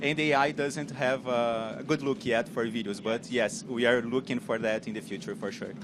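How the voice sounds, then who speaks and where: Brazilian guy pitching in choppy english, loud tram and street noise all around. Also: fairly even intonation. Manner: halting, searching for words in English. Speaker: startup CEO pitching. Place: on a moving tram.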